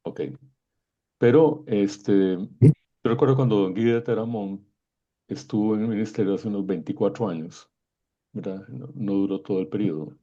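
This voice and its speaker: A man in his sixties from Guatemala moderates with informal commentary. His voice is low, dark and rather husky. The recording is dull and lo-fi. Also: normal speed. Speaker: event moderator. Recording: dull, muffled lo-fi connection.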